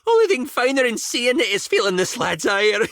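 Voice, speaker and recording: Male actor as brash elf lass, earthy dialect, teasing, highly animated intonation, dry studio sound, fantasy game. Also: normal speed, no echo, full character voice. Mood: gleeful, mocking. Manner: folksy, teasing dialect speech. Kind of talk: scripted fantasy video game dialogue.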